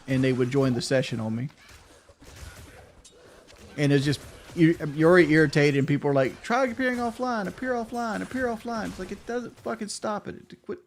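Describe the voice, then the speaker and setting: Profane rambling male game streamer. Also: fairly high pitch. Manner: rambling, profane, stutters when thinking fast. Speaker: gaming streamer. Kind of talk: casual livestream chat.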